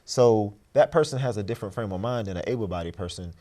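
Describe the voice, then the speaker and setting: A man's voice: lowish voice, fast talker, warm tone. A man speaking as an interview guest, explaining his views in an emphatic, earnest, motivational way. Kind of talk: conversational, motivational talk.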